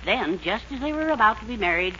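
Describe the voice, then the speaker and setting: A man's voice: high voice, lively intonation, quick pace, bright, forceful tone, full character voice. An actor playing a genial, wry, middle-aged fatherly American man in a 1940s radio comedy. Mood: wry, advising. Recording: narrow-band old radio recording, faint noise.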